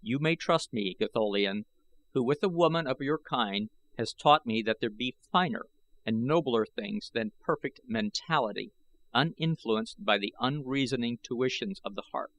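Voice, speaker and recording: A man voicing a loyal, coolly logical Martian creature with a formal, measured manner in an audiobook. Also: medium pitch, fairly bright tone, natural voice, poor audio. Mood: solemn loyalty.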